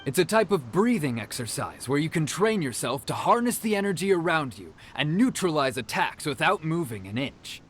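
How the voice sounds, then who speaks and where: An actor playing a proud, earnest young martial arts disciple who explains things in a video game. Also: male voice, a little high, sing-song, normal speed, hardly any pauses, fairly bright tone, slight background noise. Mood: explaining, admiring.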